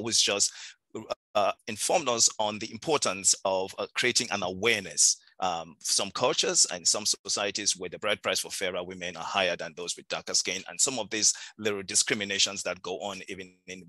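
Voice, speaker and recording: A man's voice: low-pitched, bright, forceful tone, dry recording, low-quality recording. A man moderating an online panel on a video call, recapping earlier speakers and asking questions with hesitant uh fillers. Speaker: host, moderator.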